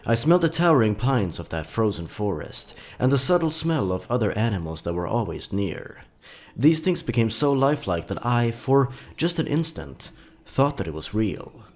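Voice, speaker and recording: A man reading scripted horror fiction as a dramatic narrator, with measured, deliberate phrasing. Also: fairly low pitch, sing-song, a little mellow.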